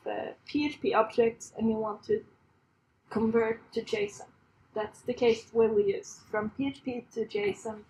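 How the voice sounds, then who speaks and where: A woman's voice: on the high side, slight background noise, low-quality recording. A woman in her thirties from Sweden with a Swedish accent, giving a chatty, self-deprecating conference talk with frequent laughs. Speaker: conference speaker. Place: conference room on a stage.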